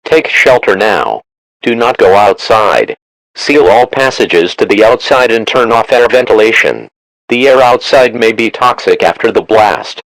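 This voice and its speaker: A man's voice: fairly low pitch, measured pace, no echo, poor audio. A synthetic male voice reading a scripted emergency broadcast announcement in a formal, automated manner. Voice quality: synthetic text-to-speech voice. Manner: flat, formal, automated delivery.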